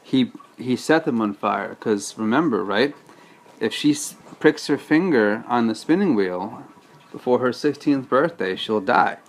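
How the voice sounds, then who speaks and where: A man's voice: medium pitch, animated, a little slow, slight background noise. A man reading a storybook aloud as a father, patiently breaking off to explain things casually to a child.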